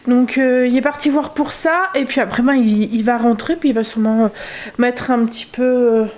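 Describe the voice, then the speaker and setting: A woman's voice: on the high side, steady tone, a little mellow, echoey room. Stuffy-nosed woman vlogging from home. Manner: chatty, many filler pauses. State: stuffy nose from a cold. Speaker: family vlogger. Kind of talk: casual home vlog chat.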